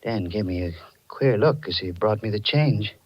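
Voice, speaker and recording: A man's voice: lowish voice, expressive. Anxious, dramatically swinging male fugitive. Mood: haunted, tense. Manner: tense, dramatic, halting under pressure. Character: anxious, guilt-ridden male fugitive narrating his own nightmare. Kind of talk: 1940s radio mystery acting, first-person narration. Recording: muffled old radio recording, music behind.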